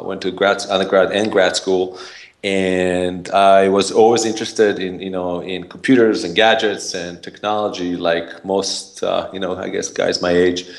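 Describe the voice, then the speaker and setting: A man's voice: low voice, vocal fry. A man from Israel with an Israeli accent, a podcast guest telling his story in a rambling way, full of fillers and restarts. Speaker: podcast guest, startup founder. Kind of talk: casual interview talk.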